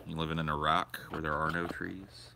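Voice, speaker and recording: Young guy streaming casually, very low voice, crude rambling, faint noise behind. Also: little pitch movement, normal speed, vocal fry. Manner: rambling, crude, answers chat questions aloud. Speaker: livestream host. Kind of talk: casual livestream chat with viewers. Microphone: portable camera or phone carried around.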